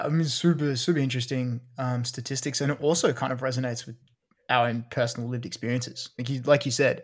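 A man's voice: mid-range voice, vocal fry. A man with an Australian accent, co-hosting a casual science podcast and explaining research with frequent fillers. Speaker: podcast co-host.

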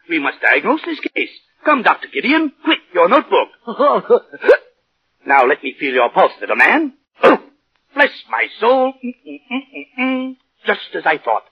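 Old-radio fox con artist: high, husky, swooping delivery, gushing and falsely kind, muffled vintage sound. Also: male voice, big pitch swings, normal speed, full character voice. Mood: mock concern. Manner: theatrical, gushing, falsely sympathetic. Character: sly flamboyant male fox swindler, mock-doctor flattery. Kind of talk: 1930s-1940s radio drama acting.

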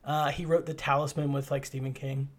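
A man's voice: medium pitch, level tone, brisk, noisy background. A man in his thirties co-hosting a podcast, chatting casually and eagerly about an old horror film. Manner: enthusiastic, chatty, rambling movie trivia. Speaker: podcast co-host.

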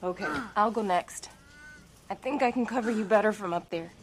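A woman's voice: medium pitch, a little fast, slight background noise, close to an everyday voice. A woman acting a supportive survivor, encouraging and insistent, in an audio horror drama. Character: supportive male survivor urging a climber on.

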